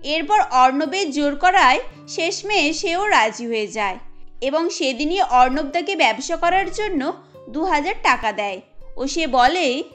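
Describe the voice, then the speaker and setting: A woman's voice: sits high, expressive, measured pace, a bit bright, faint music behind. A woman reading scripted narration for a cartoon story as its storyteller.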